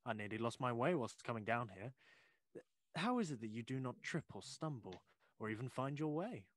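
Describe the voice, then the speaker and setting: A man playing a curious, polite gentleman visitor in an audio drama, asking probing questions courteously. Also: medium pitch, expressive, normal speed, dry recording, lightly acted.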